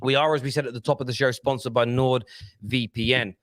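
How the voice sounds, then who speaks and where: A man's voice: medium pitch, fairly even intonation, moderate pace, no echo. A man presenting a football panel show in a studio, talking casually and insistently as he holds the floor.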